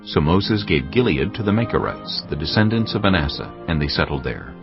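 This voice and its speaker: A man narrating scripture in a steady, measured storytelling voice. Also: very deep voice. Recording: dull lo-fi recording with music behind.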